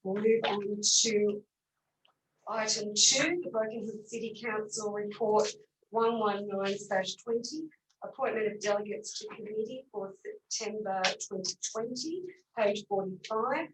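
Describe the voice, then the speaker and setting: A woman chairing a council meeting as mayor, running through agenda items in a brisk, businesslike way, her voice a little croaky. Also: medium pitch. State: slightly croaky voice. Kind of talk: formal meeting procedure. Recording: echoey room, far from mic, faint noise.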